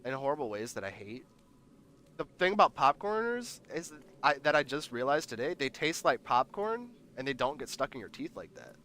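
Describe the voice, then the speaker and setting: A congested man with a nasal voice talks to his stream in a loose, rambling way, repeating himself as he reads out the chat. His voice is bright and swings up and down a lot. Faint noise hums behind him. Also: mid-range voice, big pitch swings, a little fast. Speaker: livestream host. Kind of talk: casual chat with live chat.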